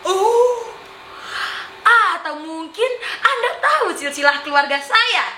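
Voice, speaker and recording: A young woman acting a boastful, mocking self-styled artist in a theatrical stage monologue, exaggerated and taunting, with drawn-out, singsong words. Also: very high voice, expressive, bright, forceful tone, exaggerated voice. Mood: haughty. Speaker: student stage actor in a monologue competition. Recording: roomy echo, faint background noise.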